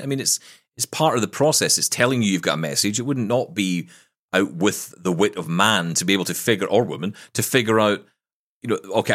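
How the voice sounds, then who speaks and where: A man's voice: low voice, fairly bright tone. A man hosting a podcast interview, inquisitive and thinking aloud as he asks questions. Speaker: podcast host. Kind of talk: conversational interview.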